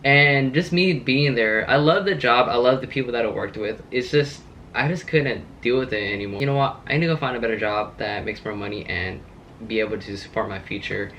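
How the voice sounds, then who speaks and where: A man's voice: mid-range voice, brisk, fairly bright tone, highs cut off, slight background noise. A man in his late twenties chatting casually to his vlog audience, rambling and laid-back with plenty of fillers. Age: 20s. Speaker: car vlogger.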